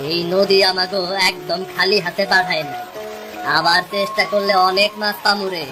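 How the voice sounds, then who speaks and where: A man playing a patient, hopeful older brother, a poor fisherman, in a cartoon story, speaking rural Bengali dialect in a calm, advising way. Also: high-pitched, moderate pace, over music, lightly acted. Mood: consoling.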